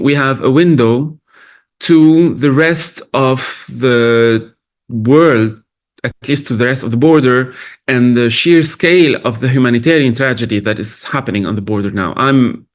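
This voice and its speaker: Halting, thoughtful man from Lebanon. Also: medium pitch. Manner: thoughtful, halting, many restarts. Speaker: film director. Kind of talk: festival Q&A answers.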